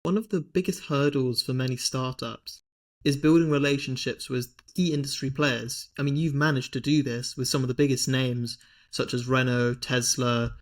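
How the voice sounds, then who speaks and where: A man hosting a podcast, asking long, measured interview questions. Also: mid-range voice, fairly even intonation. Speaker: podcast host.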